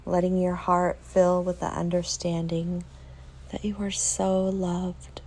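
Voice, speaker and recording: Female meditation guide: mellow, relaxed, slightly low voice, gentle and soothing delivery with unhurried pauses. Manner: soft, soothing, with long pauses.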